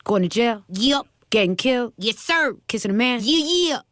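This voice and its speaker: Brash eight-year-old cartoon boy: fairly high voice, close dry recording, music faintly behind. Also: lively intonation, moderate pace, a bit bright, dry recording. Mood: questioning, eager. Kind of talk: animated series voice acting.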